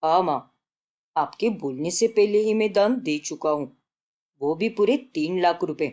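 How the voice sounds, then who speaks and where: A woman's voice: fairly low pitch, unhurried, mellow, pitched below the performer's own voice, lightly acted. A woman voicing a dutiful, generous grown man, a respectful son in a family story. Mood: assured.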